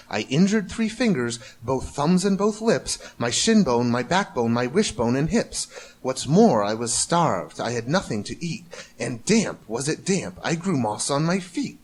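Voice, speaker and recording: A man's voice: fairly high pitch, poor audio. A man narrating a rhyming storybook with theatrical, rhythmic delivery. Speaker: amateur storybook reader.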